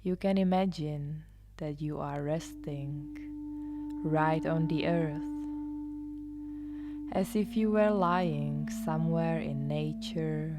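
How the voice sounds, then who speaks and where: A woman leading a guided meditation as a yoga nidra guide, soothing and hushed, often trailing into a near-whisper. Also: low-pitched, fairly even intonation, slow pace. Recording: live instrumental music behind the voice. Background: soft live instrumental music.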